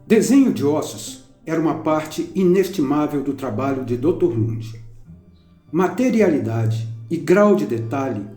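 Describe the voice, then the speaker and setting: A man narrating a documentary from a script in a measured, even storytelling manner. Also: on the high side. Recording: roomy narration over soft instrumental music.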